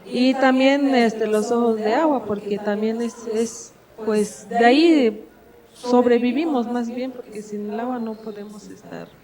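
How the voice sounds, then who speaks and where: A woman's voice: on the high side, fairly even intonation, unhurried. Hesitant woman with crowd around. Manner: hesitant, many fillers and restarts. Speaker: audience member asking a question. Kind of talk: spontaneous conference floor comment. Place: university conference room with audience. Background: audience in the room.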